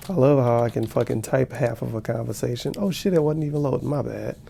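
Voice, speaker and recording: Man, sarcastic reaction commentary, gravelly, mellow tone, faint hum. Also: medium pitch, fast talker. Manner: sarcastic, profane, mocking. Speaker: commentary streamer.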